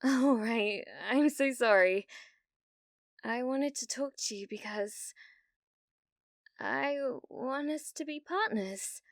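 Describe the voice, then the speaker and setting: A delusional young British gamer girl, acted by a woman for an ASMR roleplay. Her voice is fairly high and very close and dry. She speaks slowly, with a needy, obsessive sweetness that carries menace. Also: no echo. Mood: needy, awed. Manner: breathy giggles, slow dreamy delivery with sudden intense bursts. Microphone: close studio-style mic, dry.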